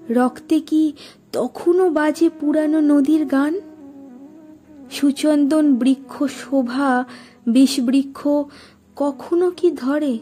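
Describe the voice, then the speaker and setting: A woman with a high voice recites poetry very slowly over light music. Her tone is soft and mellow, and she places each phrase deliberately, leaving expressive pauses. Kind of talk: dramatic Bengali poetry recitation.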